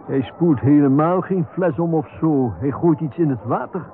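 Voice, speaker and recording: Man playing a high-voiced, inquisitive professor, tinny recording. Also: moderate pace, close to an everyday voice. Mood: suspicious, insistent. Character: inquisitive, chatty male professor on holiday. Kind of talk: radio comedy serial acting. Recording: tinny narrow-band radio recording, noisy outdoor ambience.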